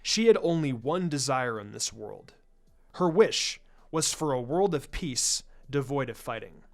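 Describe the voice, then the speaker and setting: A narrator reading a scripted story in an expressive, dramatic way, drawing out phrases for effect. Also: mid-range voice, unhurried. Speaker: fan fiction narrator.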